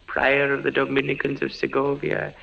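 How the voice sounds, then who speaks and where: An actor playing a stern, sanctimonious Spanish Grand Inquisitor, slow and solemn, with a gravelly voice, in 1940s radio style. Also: male voice, mid-range voice, monotone. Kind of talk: 1940s radio drama acting. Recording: dull, hissy 1940s radio recording.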